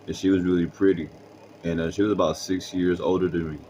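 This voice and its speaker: A young man with a very deep voice tells a story in casual slang, rambling with lots of fillers. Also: flat delivery, a little fast, noisy background. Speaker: vlogger.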